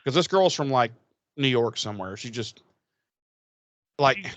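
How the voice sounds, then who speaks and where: A man's voice: medium pitch, lively intonation, measured pace, dry recording. A man co-hosting a podcast, giving casual, opinionated commentary in a blunt, emphatic, foul-mouthed way. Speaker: podcast co-host.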